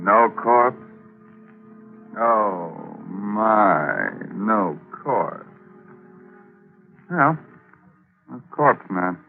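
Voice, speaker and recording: An actor playing a mocking, darkly playful horror host on 1940s radio, teasing and sardonic. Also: male voice, lowish voice, lively intonation, drawn-out words, pauses often, full character voice. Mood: gleefully macabre. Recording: dull 1940s radio recording. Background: music.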